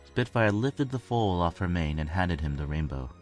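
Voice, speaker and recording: A man's voice: deep voice, lively intonation, normal speed, faint music behind. A man reading a scripted story as a dramatic narrator.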